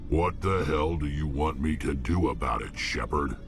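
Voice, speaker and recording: An actor playing a hardened, blunt male alien mercenary warrior with a gruff, world-weary manner, in a video game. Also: extremely low pitch, moderate pace. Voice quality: gravelly. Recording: game audio with music behind.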